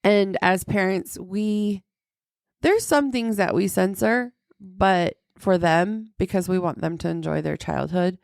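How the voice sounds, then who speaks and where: A middle-aged woman hosting a casual, joking podcast chat, her voice deepened and congested by a sinus infection, with vocal fry. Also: takes it slowly, darkish tone, dry recording, studio quality. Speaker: podcast host.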